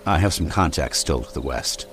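A man's voice: a bass voice, expressive, moderate pace, fairly bright tone, soft background music. An actor playing a stalwart, protective military man in an audio drama, earnest and a little stiff. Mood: concerned.

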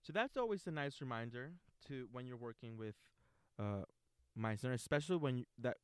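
A man with a smooth, resonant voice and a dry, close sound hosts a casual conversation about acting. He talks in a relaxed, rambling way, restarting phrases as he works out his thoughts. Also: medium pitch, a little fast, no echo. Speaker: host.

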